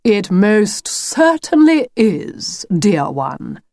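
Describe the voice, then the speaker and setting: A woman's voice: mid-range voice, big pitch swings, drawn-out words, a little mellow, dry recording, clean studio sound, full character voice. A woman voicing an effusive, fussy male talking wolf in a children's story, grandiose and chummy. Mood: theatrical, teasing. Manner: grandiose, fussy, old-fashioned endearments. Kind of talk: children's storybook character reading.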